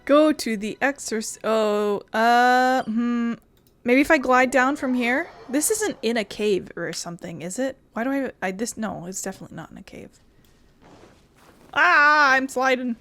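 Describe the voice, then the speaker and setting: A woman's voice: fairly high pitch, animated, a little slow, fairly bright tone. A woman doing casual, rambling video game commentary with playful asides. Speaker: streamer playing a video game. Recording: game music behind voice.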